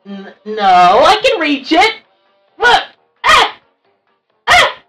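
Woman voicing a high-pitched, whiny little cartoon devil. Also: wildly swooping pitch, extremely slow, sharp tone, recorded from a distance, faint music behind, exaggerated voice, poor audio. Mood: frantic, straining. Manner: whiny, petulant, hesitant complaining. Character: short, grumpy, whiny cartoon devil who hates work. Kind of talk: improvised cartoon roleplay.

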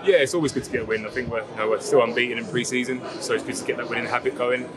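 A young man speaking in a flat, level tone, answering post-match questions at an outdoor football ground. His answers run loose and easy, padded with ums and you knows. Crowd chatter sits clearly in the background. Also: medium pitch, racing. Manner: relaxed, fluent, filler-heavy answers. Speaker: footballer, vice captain. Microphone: lapel mic.

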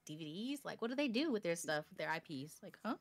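Woman, late twenties, easygoing streamer, lively up-and-down delivery. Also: lowish voice, fast, dry recording. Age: 20s. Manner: relaxed, giggly, tells stories with quoted voices. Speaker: co-host. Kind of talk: casual chat on a livestream.

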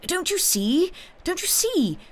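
A man reading an audiobook, voicing a high-strung, agitated young male curate who speaks in an excitable, stammering, unsteady way. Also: normal speed. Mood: feverish. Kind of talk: audiobook character dialogue.

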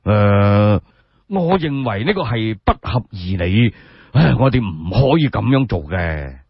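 A man's voice: fairly low pitch, very animated, unhurried, breathy edge, no echo, dull audio, pitched below the performer's own voice, heavily acted. A man with a Cantonese accent voicing a dignified, pedantic old male sage in a radio story, hesitant and self-justifying. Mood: earnest, pedantic.

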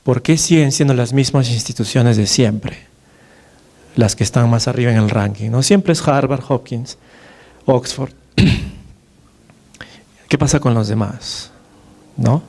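A man's voice: medium pitch, slow pace, pauses often, a little mellow. A Peruvian man in his thirties, a neurologist giving a conference lecture in a large lecture hall at a podium mic. He talks conversationally, with many fillers and tag questions. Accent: Peruvian Spanish. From Peru. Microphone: podium microphone, far camera.

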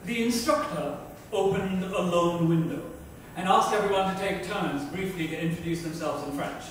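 A man's voice: high voice, moderate pace. A man reading a novel aloud as dramatic scripted narration. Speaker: reader. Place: club lounge with seated panel, indoors. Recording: echoey room, distant mic, faint noise.

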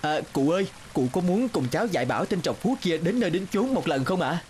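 A man playing a clever, sly young scholar, polite to his elders, in an animated folk tale. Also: on the high side, a little fast, a little hiss or hum, natural voice. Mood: scheming, polite.

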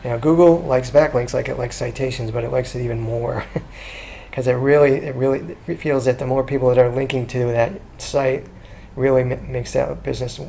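A man talking casually through a screen-recorded walkthrough as a consultant, chatty and relaxed, with frequent ums and restarts. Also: medium pitch, normal speed, creaky, a little hiss or hum. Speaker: SEO consultant pitching a business.

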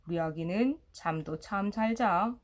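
Woman playing a slow, doting rabbit parent. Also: mid-range voice, lightly acted. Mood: fond, pleased. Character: doting, gentle rabbit parents cooing over their baby. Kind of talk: storybook character voice.

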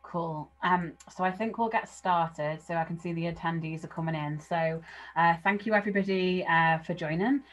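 A woman hosting an online session, introducing the speaker in a relaxed, informal way with many filler words. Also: fairly low pitch, normal speed, muffled recording. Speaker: session host. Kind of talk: hosting a webinar.

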